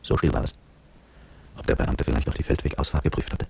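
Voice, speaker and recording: An actor playing a brooding petrol-station attendant and former soldier, narrating his memories in terse, reflective radio drama style with a gravelly voice. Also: fast, darkish tone, lightly acted. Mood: brooding, uneasy. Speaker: narrator and protagonist. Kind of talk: literary first-person radio drama narration.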